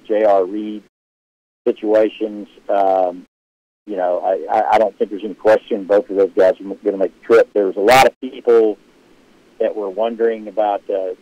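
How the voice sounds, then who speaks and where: A man hosting a casual live sports chat, talking loudly and rambling with many fillers and restarts. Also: on the low side, dry recording. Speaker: sports show host answering viewer questions. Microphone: live stream setup. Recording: dull lo-fi livestream audio.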